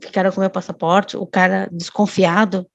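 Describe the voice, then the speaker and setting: A woman's voice: mid-range voice, flat delivery, normal speed, gravelly, no echo, dull audio. A woman, a guest telling a long personal story in casual chat, often restarting and adding tag questions. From Brazil.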